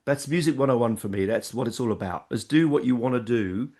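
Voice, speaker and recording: A man's voice: medium pitch, brisk, darkish tone. A man interviewing a musician, chatty and enthusiastic about the album. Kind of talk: casual interview chat.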